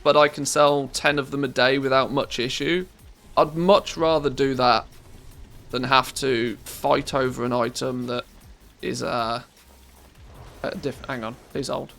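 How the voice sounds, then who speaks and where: A man's voice: mid-range voice, fairly even intonation, a little slow, vocal fry, a bit bright, faint music behind. Scratchy-voiced English man chatting on stream. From England. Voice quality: scratchy, harsh voice. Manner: rambling, self-correcting, musing to chat. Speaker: live streamer. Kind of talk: casual live-stream chat while playing. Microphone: good studio microphone.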